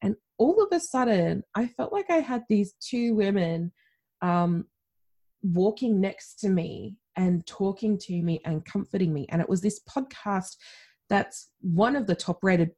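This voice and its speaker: A woman's voice: mid-range voice, animated, a little slow, dark tone, slightly rough. A woman with an Australian accent, a podcast guest telling her story in a chatty, candid way and laughing often. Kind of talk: conversational storytelling.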